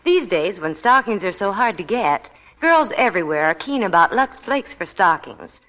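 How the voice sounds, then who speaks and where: A woman doing scripted 1940s radio ad chat as a Hollywood reporter. Her middle-pitched voice is very animated in its rise and fall, and her manner is gushing. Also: normal speed, rough recording.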